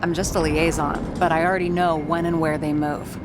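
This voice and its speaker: A woman's voice: low-pitched, little pitch movement, brisk, background noise, lightly acted. An actress playing a tough, guarded young woman from the slums in a noir video game, speaking with a dry, determined manner. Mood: bitter, determined. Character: tough, guarded slum-born young woman, vengeful liaison. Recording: loud city and vehicle ambience.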